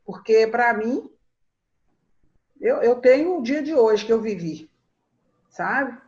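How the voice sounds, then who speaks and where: A woman in her sixties telling family stories in a relaxed conversation, laughing often and repeating words as she starts her thoughts. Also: fairly high pitch, slow, muffled recording. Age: 60s. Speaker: guest storyteller. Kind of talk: reflective conversational storytelling.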